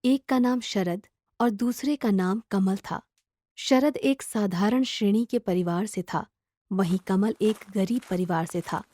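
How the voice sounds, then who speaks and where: Mellow woman narrating a folk tale. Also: mid-range voice, a little slow, no echo. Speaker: story narrator.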